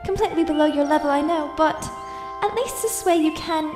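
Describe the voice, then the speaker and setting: A woman's voice: high voice, brisk, music in the background, natural voice. A woman playing an earnest, sensible young princess in an audio drama, speaking politely and a little formally. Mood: gentle. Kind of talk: fan audio drama acting. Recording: echoey room sound.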